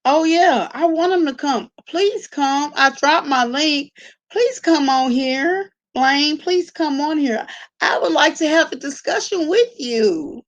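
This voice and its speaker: A woman's voice: high-pitched, lively intonation, slow pace, lo-fi sound. A woman hosting a casual livestream, talking to viewers in an informal, slangy, conversational way. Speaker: live-stream host reacting to online gossip.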